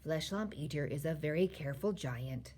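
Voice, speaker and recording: A woman voicing a gentle, stubborn, gloomy male giant from a children's storybook, with muddled folksy grammar and invented words. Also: low voice, lively intonation, moderate pace, slight background noise. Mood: matter-of-fact. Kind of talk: children's storybook character reading.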